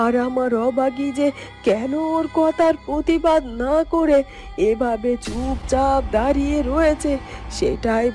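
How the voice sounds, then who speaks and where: A woman plays a protective mother turned bird in a fairytale cartoon. Her voice is mellow and fairly high, fretful as she wonders aloud, with music underneath. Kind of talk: Bengali fairytale cartoon voice acting.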